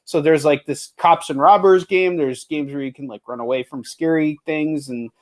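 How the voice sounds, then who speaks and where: A man with a fairly high voice chats as a livestream host. He rambles in slangy, profane language, as if shooting the breeze with a fellow creator. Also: moderate pace. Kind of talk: casual chat with a guest.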